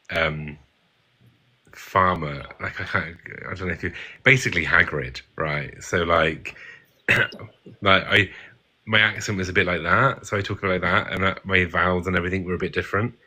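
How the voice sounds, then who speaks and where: A man's voice: low voice, normal speed. An English man with a posh English accent, chatting animatedly on a live stream and poking fun at himself. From England. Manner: animated, self-mocking. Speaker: guest. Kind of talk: casual live stream chat.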